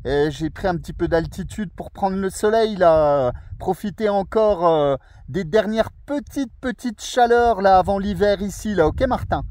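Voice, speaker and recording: Male radio hobbyist, French accent, notably high voice, courteous and chatty. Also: normal speed, hardly any pauses, a little mellow. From France. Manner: friendly, courteous. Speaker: amateur radio operator. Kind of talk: casual radio contact chat. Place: outdoors on a small mountain summit.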